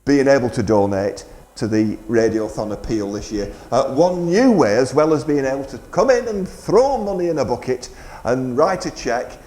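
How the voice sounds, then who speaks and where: An animated male community radio presenter, speaking live from an echoey stage. His pitch swings freely as he chats and jokes his way through appeals and interview questions. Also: normal speed, few pauses. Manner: jokey, chatty. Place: radio studio stage in an indoor market.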